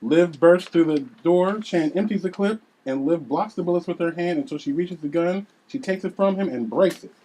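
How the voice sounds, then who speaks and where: A man narrates a script at a table read, announcing scenes and reading out the action. His voice sits a little higher than most men's, in a scripted narrator's delivery. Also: moderate pace, few pauses, dark tone, poor audio. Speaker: narrator reading stage directions.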